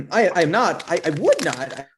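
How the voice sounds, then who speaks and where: A man's voice: sits high, big pitch swings, normal speed, slight background noise. Man acting timid, stammering Doctor Polidori. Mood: nervous. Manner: hesitant, stammering, throat-clearing. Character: Doctor Polidori, timid, awkward male physician. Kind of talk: staged reading of a period drama.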